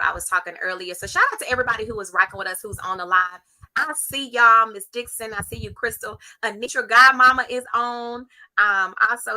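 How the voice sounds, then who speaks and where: Bright, forceful woman hosting. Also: medium pitch, slight background noise, rough recording. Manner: emphatic, repeats phrases for stress. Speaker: live show host and interviewer. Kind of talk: conversational livestream interview.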